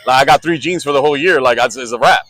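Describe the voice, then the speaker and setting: A man's voice: mid-range voice, very fast, a bit bright. A middle-aged man with a Puerto Rican accent, telling rambling stories on a podcast and acting out what people said. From Puerto Rico. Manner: rambling, full of fillers, acts out quotes in voices. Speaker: podcast co-host. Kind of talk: casual storytelling chat.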